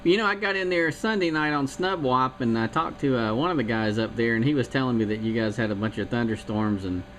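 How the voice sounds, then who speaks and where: A man's voice: mid-range voice, fairly quick, low-quality recording. A man from South Carolina chatting casually on CB radio, rambling with fillers about old radios and the weather. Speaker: CB radio operator.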